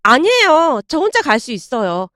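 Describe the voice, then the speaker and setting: A woman's voice: high-pitched, big pitch swings, moderate pace, bright, forceful tone, no echo, studio quality, heavily acted. A woman voicing a shy, polite, considerate young woman in a dramatized story. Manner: bashful, courteous.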